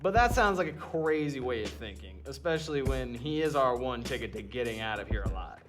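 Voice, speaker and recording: A man's voice: medium pitch, very animated, a little fast, music in the background, natural voice. A man playing an impatient, coolly arrogant genius doctor who speaks with dry, pompous precision in an audio drama. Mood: condescending, measured.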